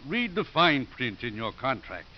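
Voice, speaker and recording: An actor playing a wry, measured priest who runs a college, in 1950s radio drama style. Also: male voice, medium pitch, sing-song. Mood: dry, wry. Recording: dull, hissy 1950s radio recording.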